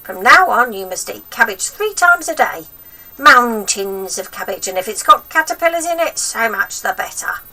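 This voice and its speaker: A woman's voice: fairly high pitch, very animated, moderate pace, sharp tone, far from the mic, pitched above the performer's own voice, heavily acted, poor audio. A woman voicing a sinister, gloating old grandmother in a storybook, drawing out nasty words with menacing relish. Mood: snappish, bossy. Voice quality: throaty, breathy whisper at times.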